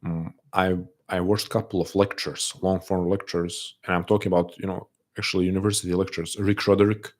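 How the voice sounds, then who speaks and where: A man with a non-native English accent, often taken for Russian, hosting a casual live chat and answering viewers; soft-spoken and hesitant, with many restarts. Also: low-pitched, level tone, fairly quick, hardly any pauses. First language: not English. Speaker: livestream host answering chat.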